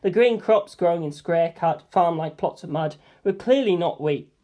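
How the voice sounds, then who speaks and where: A woman with a fairly low voice reads fiction aloud. Her delivery is scripted first-person storytelling, in the manner of an amateur audiobook. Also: lively intonation, normal speed, mellow.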